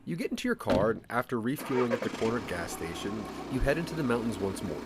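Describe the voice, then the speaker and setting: A man narrating a scripted second-person adventure story in a dramatic, deliberate storytelling manner. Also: mid-range voice, sing-song, very noisy. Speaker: narrator of a branching audio drama.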